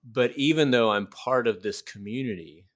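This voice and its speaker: A man from North Carolina hosting a podcast, asking long, rambling questions that trail off softly. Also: mid-range voice, normal speed, dull audio. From North Carolina, USA. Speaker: podcast host. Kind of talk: casual podcast interview chat.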